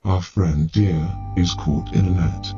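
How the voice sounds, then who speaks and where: Man doing a very deep, dark-toned loyal crow. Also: slow pace. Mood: worried. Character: loyal, concerned crow friend in a fable. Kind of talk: children's animal fable character voice. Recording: dull lo-fi sound with music.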